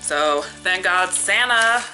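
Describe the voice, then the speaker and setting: A woman's voice: on the low side, lively intonation, slow, music in the background, rough recording. A young woman with a cold, chatting casually to camera in her living room in a sniffly, upbeat way, with lots of likes and ums. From Canada. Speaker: beauty and family vlogger. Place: living room in front of a lit Christmas tree, indoors. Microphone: camera close in front.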